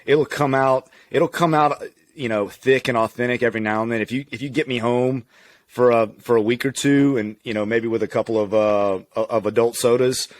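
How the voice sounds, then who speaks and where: A man's voice: medium pitch, normal speed, no echo. Guy from louisiana, chill and candid, laughs a lot, dry close sound. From Louisiana, USA. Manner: relaxed, candid, laughs easily. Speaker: physical therapist guest. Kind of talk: casual conversational interview.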